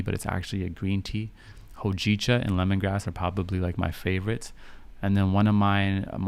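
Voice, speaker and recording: A man's voice: deep voice, gravelly, clean tone, no echo. A man, hosting a casual live stream chat in an affirming way, repeating phrases and checking that listeners understand. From Canada, grew up in Vancouver. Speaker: live stream host and podcaster.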